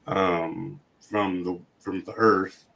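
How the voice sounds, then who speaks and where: Low flat guy with a scratchy worn-out voice, just chatting on a live stream. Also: extremely slow, vocal fry. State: hoarse. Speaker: podcast host. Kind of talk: casual chat.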